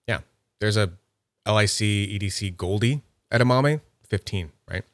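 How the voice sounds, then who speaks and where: A man's voice: lowish voice, moderate pace, no echo. A man giving casual unboxing commentary, chatty and upbeat, thinking aloud as he handles and inspects small items. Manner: nerdy and upbeat. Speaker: YouTube fidget toy reviewer.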